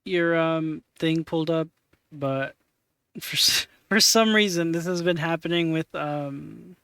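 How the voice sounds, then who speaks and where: A man in his twenties hosts a stream in a loose, casual way. His voice is smooth and a little high, recorded dry and close. He trails off and fills gaps with "um" and "like" as he clicks around. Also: bright, forceful tone, no room sound. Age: 20s. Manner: halting, many fillers while working on screen. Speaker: streamer host. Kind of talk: casual chat.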